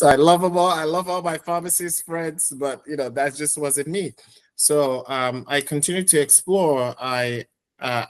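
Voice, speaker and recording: A middle-aged man from Nigeria, a podcast guest telling his career story in a reflective, measured way with many fillers. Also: medium pitch. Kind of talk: conversational interview storytelling.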